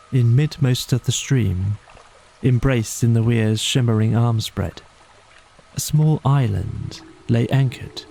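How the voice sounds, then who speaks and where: Slow, gravelly male bedtime storyteller. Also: mid-range voice, dark tone, a little hiss or hum. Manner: measured, solemn, literary phrasing. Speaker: audiobook narrator. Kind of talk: scripted bedtime storybook narration. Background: music.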